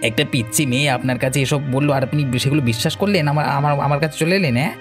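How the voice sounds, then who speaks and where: A man's voice: on the high side, racing, soft background music, natural voice. A man voicing an arrogant village bully in a cartoon, with a taunting, threatening manner. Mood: indignant. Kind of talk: Bengali cartoon voice acting.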